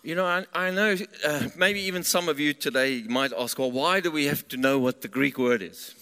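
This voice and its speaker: A male preacher from southern Africa, Afrikaans his first language, speaking in short bursts with pauses for his interpreter. His voice is bright and forceful and sits a little high. It rings out with a long hall reverb. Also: clean tone. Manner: pauses in short phrases for the interpreter, some restarts. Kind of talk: sermon with live interpreter.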